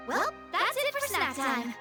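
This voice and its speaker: High, lively young lady boss. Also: unhurried, heavily acted. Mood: breezy, reassuring. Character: gracious, cheerful, confident young lady boss. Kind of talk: video game voice acting. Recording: music under the voice.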